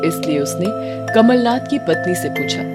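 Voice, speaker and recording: Woman horror story narrator, kinda low voice, dramatic and suspenseful, loud music behind her. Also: expressive. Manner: suspenseful, slowing for emphasis.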